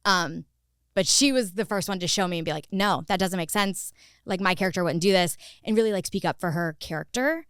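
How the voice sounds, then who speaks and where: A woman's voice: lowish voice, fairly quick, a bit bright, clean tone, no echo, clean studio sound. A woman talking conversationally as an interview guest, telling stories with frequent "like" and acted-out quotes. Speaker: podcast guest, actor turned director.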